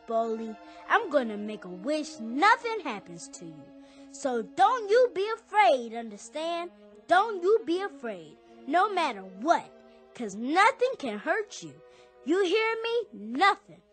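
A woman's voice: high voice, sing-song, measured pace, fairly bright tone, heavily acted. A woman playing an earnest, devoted young boy in a radio drama, intense and colloquial, with an American accent. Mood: earnest, insistent. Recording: radio drama with music underneath.

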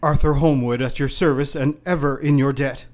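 A man playing an anxious, devoted young gentleman lover in a radio play, earnest and courteous. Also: mid-range voice, steady tone, moderate pace, lightly acted.

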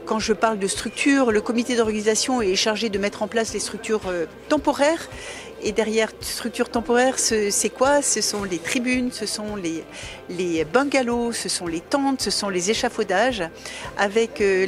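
A woman in her fifties talks through the organization of a big event into a handheld microphone outdoors. Her voice sits somewhat high, and she speaks in a measured way, full of hesitations and small restarts. Music plays clearly underneath. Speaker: organizing committee director. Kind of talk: on-camera interview. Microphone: handheld mic.

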